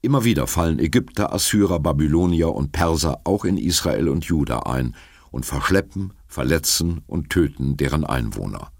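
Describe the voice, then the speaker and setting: A man reading an audiobook in a sonorous, measured narration style. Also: rumbling low, animated, vocal fry, darkish tone. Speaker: audiobook reader. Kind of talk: scripted narration.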